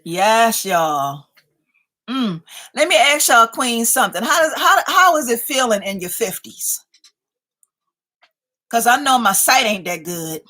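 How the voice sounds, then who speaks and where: A woman, hosting a relaxed livestream chat. Her voice sits a little high and she takes her time, drawing out her words as she teases and praises her viewers. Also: unhurried, long pauses. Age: 50s. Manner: playful, chatty, keeps addressing viewers as y'all. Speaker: livestream host. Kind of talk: casual live chat reading viewer comments.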